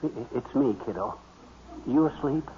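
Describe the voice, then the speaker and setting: An actor playing an excitable, boastful middle-aged American husband in a 1950s radio comedy, with a folksy, wordplay-heavy patter. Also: male voice, mid-range voice, unhurried, lightly acted. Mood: fretful, hushed. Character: excitable, boastful husband, eager amateur duck hunter. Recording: muffled, noisy 1950s radio recording.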